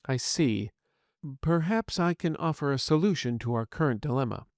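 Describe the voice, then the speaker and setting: A man's voice: mid-range voice, animated, moderate pace, no echo, clean studio sound, lightly acted. A man voicing a polite, curious artificial intelligence medical agent in an audio story, speaking in formal, precise and courteous wording. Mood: polite, inquiring. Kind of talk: scripted audio fiction dialogue.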